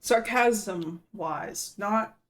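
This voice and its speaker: A young woman hosting a casual game reaction video, chatty, excitable and playful. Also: medium pitch, drawn-out words. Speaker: YouTube reaction host.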